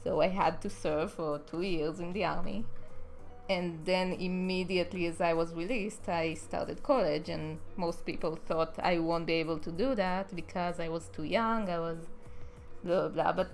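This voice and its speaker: Young Israeli-accented woman, lowish voice, rambling live-stream chat, faint music behind. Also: steady tone, normal speed, poor audio. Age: young adult. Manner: chatty, rambling, frequent ums and uhs. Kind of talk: casual live stream chat answering viewers.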